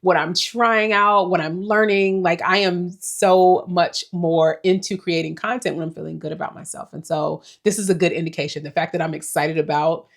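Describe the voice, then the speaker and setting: A woman in her forties talks straight to her viewers in a relaxed life-update vlog. Her voice sits on the lower side, and she rambles at an easy, reflective pace. Small laughs slip in now and then. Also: moderate pace, gravelly, hardly any pauses. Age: 40s. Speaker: vlogger.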